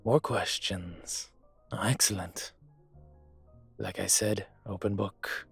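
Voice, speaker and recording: A man playing a suave, teasing dragon mafia boss in an intimate roleplay audio, speaking deliberately with a husky voice. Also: on the low side, steady tone, soft background music, exaggerated voice. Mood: amused, teasing. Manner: smooth-talking, deliberate, teasing.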